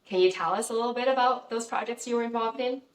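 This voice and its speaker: A woman's voice: fairly high pitch, quick pace. A woman interviewer asks her questions hesitantly, with frequent ums and uhs. She sits well back from the mic on a video call, so her voice sounds distant and roomy. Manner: hesitant, many fillers.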